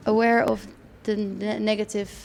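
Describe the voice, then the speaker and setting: A woman's voice: medium pitch, little pitch movement, normal speed, vocal fry, darkish tone. A woman hosting a radio interview, asking questions conversationally with frequent fillers and restarts. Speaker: radio host.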